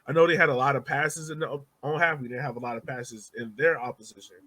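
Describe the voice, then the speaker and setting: A man's voice: medium pitch, fairly even intonation, quick pace, pushed and bright. A man hosting a soccer podcast, leading a casual discussion of the game. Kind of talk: casual sports chat.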